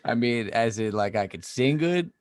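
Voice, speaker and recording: A man's voice: mid-range voice, expressive, normal speed. A man co-hosting a podcast in casual chat, easygoing and teasing in his banter. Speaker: podcast co-host.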